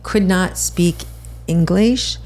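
A woman chatting on a podcast as host and spiritual channeler, rambling with quick laughs. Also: lowish voice, a little mellow. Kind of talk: casual chat.

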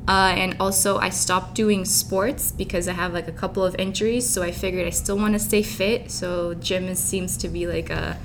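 A woman's voice: steady tone, normal speed, few pauses. A young woman who grew up in Canada and Dubai, chatting informally and openly as an interview guest. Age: young adult. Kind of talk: casual chat. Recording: clear background rumbling noise.

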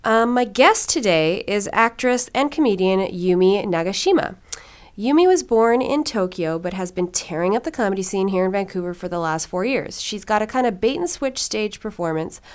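A woman's voice: mid-range voice, expressive, moderate pace, clean tone. A young woman hosting a podcast, talking in a chatty way with lots of fillers, then interviewing a guest. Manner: chatty, filler-heavy with frequent "like". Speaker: podcast host. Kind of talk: casual monologue and interview.

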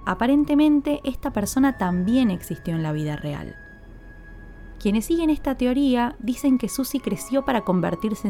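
A woman hosting a podcast, telling a scripted story in a measured, explanatory way with emphatic openers. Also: mid-range voice, warm tone. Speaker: podcast host.

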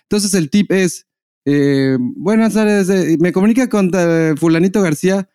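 A man's voice: high voice, a little slow, dark tone, clean tone, no room sound, studio quality. A Mexican man hosting a podcast, chatting casually and informally with slangy wording. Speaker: podcast host. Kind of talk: casual conversational chat.

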